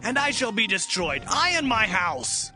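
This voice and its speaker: High-pitched male patriarch played by an actor, sharp bright tone, emphatic, declamatory, troubled father, audio Bible drama. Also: very animated, unhurried, faint music behind, exaggerated voice. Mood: anguished reproach. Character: Jacob, troubled patriarch father of grown sons.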